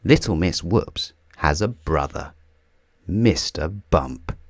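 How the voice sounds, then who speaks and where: A man reading a children's storybook aloud in a theatrical, deliberate way, drawing out key words for emphasis. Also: very deep voice, expressive, creaky, no room sound, studio quality. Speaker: children's book reader. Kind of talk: scripted storybook read-aloud.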